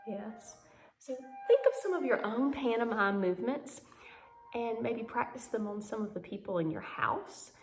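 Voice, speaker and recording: Expressive woman teaching dance in an echoey room. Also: fairly high pitch, measured pace. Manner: demonstrative, acts out examples with dramatic emphasis. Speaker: ballet and pantomime teacher. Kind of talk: instructional video dance lesson.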